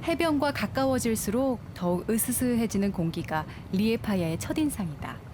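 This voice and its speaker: A woman reading scripted travel documentary narration in a calm, measured way, recorded as a studio voice-over. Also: fairly high pitch, background noise. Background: music.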